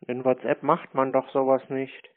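A man chatting casually on a call, deadpan and acting naive as he strings along a sales agent. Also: mid-range voice, moderate pace. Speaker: prank caller baiting scammers. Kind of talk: casual phone chat. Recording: narrow phone-like sound.

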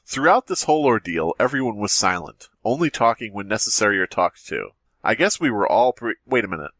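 A man's voice: lowish voice, sing-song, brisk, fairly bright tone, dry recording. A man hosting a podcast, reading a horror story aloud and breaking into playful, mocking banter. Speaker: podcast host.

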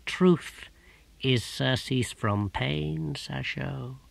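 A man voicing a cold, menacing churchman who speaks in a soft, sinister whisper. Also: mid-range voice, extremely slow, gravelly, exaggerated voice. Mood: menacing hush.

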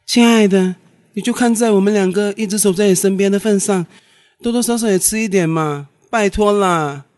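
A man acting a gentle, coaxing young friend in a radio drama. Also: high-pitched, normal speed, no room sound, clean studio sound, lightly acted.

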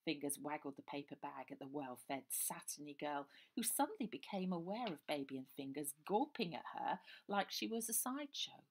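An older woman reading her own children's novel aloud in an expressive, lively way, recorded on a webcam in a small room. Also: deep voice, moderate pace, few pauses.

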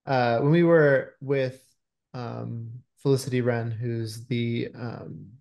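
A man's voice: medium pitch, fairly even intonation, takes it slowly, vocal fry, darkish tone. A man in his thirties speaking casually as an interview guest on a video call, often searching for his words. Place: small room at home, indoors.

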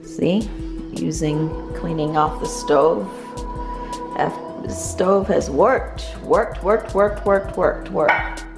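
A middle-aged woman with a lowish voice hosts a family vlog at home over clear background music. She talks slowly and casually, playfully repeating words for emphasis. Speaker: family vlogger, host. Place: home dining room and kitchen.

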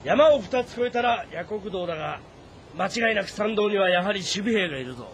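A man playing a bold, rough mountain rebel leader with a blunt, confident delivery. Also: high voice, expressive, brisk, a little hiss or hum. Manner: blunt, assertive. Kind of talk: dramatic audio drama acting.

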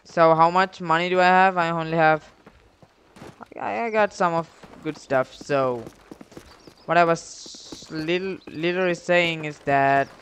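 A man's voice: fairly high pitch, fairly even intonation, a little slow, a bit bright. Slangy, swearing young man over noisy background. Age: young adult. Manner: slangy, heavy swearing, rambling. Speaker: gaming video creator telling stories over gameplay. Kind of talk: casual storytelling mixed with game commentary.

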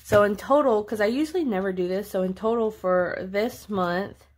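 A woman's voice: mid-range voice, normal speed, darkish tone. Female vlogger, late 20s, mid-range voice, casual and chatty, thinks aloud while walking viewers through a task. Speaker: budgeting vlogger. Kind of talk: casual narrated budget walkthrough.

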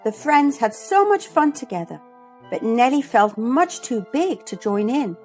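A woman hosting a children's read-aloud, narrating a storybook expressively and deliberately. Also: fairly high pitch, very animated, darkish tone. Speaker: host and storybook reader. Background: music.

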